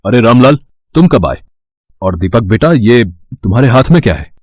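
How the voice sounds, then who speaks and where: A man's voice: mid-range voice, big pitch swings, moderate pace, a little mellow, dry recording. A man voicing a stern, well-off father in a cartoon moral story. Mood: kindly.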